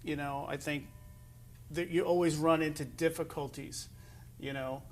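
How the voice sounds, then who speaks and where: A man's voice: on the high side, fairly even intonation, moderate pace. A man with an American accent hosting a casual sports interview as the show's interviewer. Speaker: interview show host.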